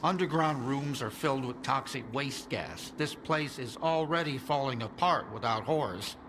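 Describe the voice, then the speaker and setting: A man acting an anxious scientist in a video game, giving an earnest briefing. His voice sits at a middling pitch, with clear ambient noise behind it. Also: unhurried, close to an everyday voice. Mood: grave, uneasy. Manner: earnest, explanatory.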